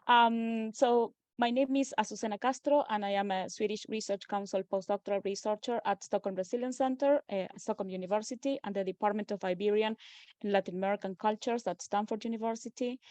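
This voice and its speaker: A woman's voice: medium pitch, steady tone, a little fast, darkish tone, no echo. Argentinian woman giving an academic lecture. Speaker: guest speaker. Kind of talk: academic lecture over video call.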